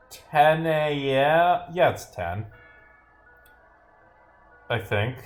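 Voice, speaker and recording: A man giving casual, rambling livestream commentary as he playtests a game and reads its text aloud, often interrupting himself with dry jokes. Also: medium pitch. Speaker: streamer, game developer. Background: game music.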